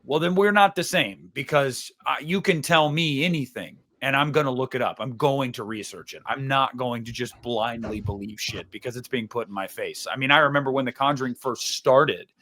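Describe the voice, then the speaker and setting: Young american podcast guy, medium pitched voice, arguing his point, casual but emphatic. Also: normal speed, few pauses, bright, forceful tone. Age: young adult. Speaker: podcast co-host. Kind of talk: opinionated podcast discussion.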